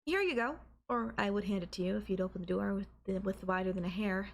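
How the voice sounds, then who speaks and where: Casting: woman as young student girlfriend, mid-pitched, coy, teasing and affectionate, for intimate anime-style audio roleplay. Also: fast talker, gravelly. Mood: affectionate, coaxing.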